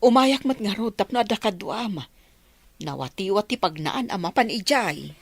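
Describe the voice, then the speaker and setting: An actress with a Filipino accent playing a helpful, hopeful village companion in a radio comedy drama. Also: female voice, medium pitch, animated, normal speed, no room sound. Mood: reassuring.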